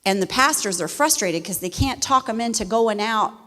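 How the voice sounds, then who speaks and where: A middle-aged woman from West Virginia, a missionary speaking to a church congregation in a folksy, animated way. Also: on the high side, moderate pace. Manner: folksy and animated, laughs easily. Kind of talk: church testimony talk. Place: church sanctuary. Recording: long reverb of a church hall.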